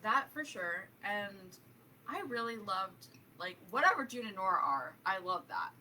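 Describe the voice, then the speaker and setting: Bright-voiced woman, speaking from off mic. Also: mid-range voice, sing-song, a little slow. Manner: hesitant, filler-heavy phrasing with frequent "like". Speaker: book club participant. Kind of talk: casual group book discussion. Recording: distant mic, faint background noise.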